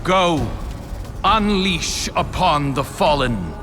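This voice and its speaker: Very slow, brooding villain prince. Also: male voice, a little high, lively intonation, music in the background. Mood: grand, menacing. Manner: grandiose, deliberate, solemn delivery. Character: brooding, exiled prince turned vengeful villain. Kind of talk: dramatic video game acting.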